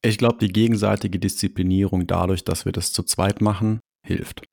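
A man's voice: low voice, fairly even intonation, normal speed, vocal fry, darkish tone, dry recording, studio quality. A man co-hosting a podcast, speaking thoughtfully and hedging as he weighs his points. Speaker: podcast co-host. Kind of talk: casual podcast chat.

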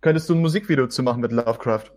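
A man with a husky voice chatting casually in a group podcast. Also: mid-range voice, rapid-fire, a little mellow. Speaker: podcast participant.

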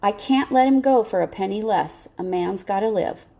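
Audiobook role: woman as a shifty, deferential farmer, a grown man, hushed and pleading, with creaky voice. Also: medium pitch, normal speed, lightly acted. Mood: furtive, insistent. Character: furtive, money-minded farmer, a grown man.

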